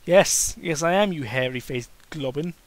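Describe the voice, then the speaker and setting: A man's voice: a little high, moderate pace, no room sound, lightly acted. A man voicing a big masked male wrestler in a comic story reading, smug, taunting and hammy. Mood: smug, insulting.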